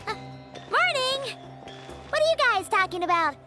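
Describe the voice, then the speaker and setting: A woman voicing a small, excitable, cheeky fairy-like girl companion in a video game, with a squeaky childlike voice. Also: moderate pace, over music. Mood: curious, chatty. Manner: exclamatory, refers to herself in third person. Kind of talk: animated video game voice acting.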